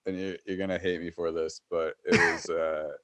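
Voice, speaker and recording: A man's voice: low voice, flat delivery, normal speed, no room sound. A young man co-hosting a podcast, hesitant and sheepish, often trailing off while he talks. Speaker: podcast co-host. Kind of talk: casual podcast chat.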